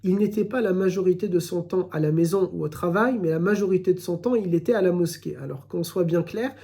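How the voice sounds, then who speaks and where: A man giving a recorded religious lesson, explaining in a measured way and often repeating his phrases. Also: high-pitched, creaky, mellow. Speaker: Islamic teacher.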